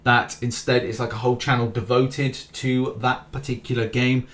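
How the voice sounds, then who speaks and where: A man's voice: medium pitch, flat delivery, normal speed, fairly bright tone. A man hosting a casual gaming news roundup, chatty, often restarting phrases and reading out lists of scores and rankings.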